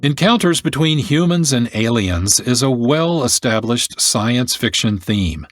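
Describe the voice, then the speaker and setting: A man hosting a documentary program with scripted narration, solemn and deliberate, pausing between phrases. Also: mid-range voice, expressive. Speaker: documentary host.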